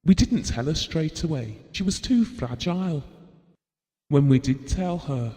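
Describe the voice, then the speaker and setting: A man playing a gentle, grieving husband and bereaved father in an audio drama, speaking tenderly and reflectively. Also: fairly high pitch, animated, unhurried. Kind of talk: intimate audio drama monologue. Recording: long reverberant hall sound.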